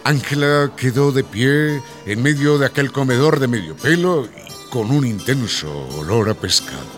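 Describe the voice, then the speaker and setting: A man narrating a radio soap in a scripted, dramatic and expressive storytelling style. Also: mid-range voice, moderate pace, lightly acted. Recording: guitar music bed under the voice.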